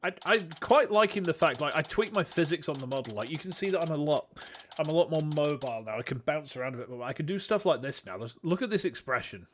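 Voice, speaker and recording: A young man with a husky voice and a sore throat, chatting casually and reading game dialogue aloud. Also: fairly high pitch, lively intonation, fast talker, slight background noise. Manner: chatty, easily amused. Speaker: streamer. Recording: game music behind voice.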